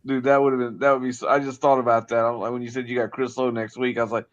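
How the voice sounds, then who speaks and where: A man with a mid-pitched voice co-hosts a sports livestream. His talk is casual and unscripted, with rambling, hesitant phrasing. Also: little pitch movement, fast, highs cut off. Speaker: sports show co-host and interviewer.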